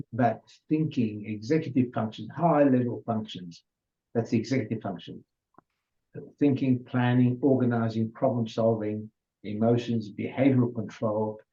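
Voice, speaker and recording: Slow, mellow-voiced older man lecturing. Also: medium pitch. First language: Hungarian. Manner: unhurried, with many restarts and fillers. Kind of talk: informal lecture. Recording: dull lo-fi recording.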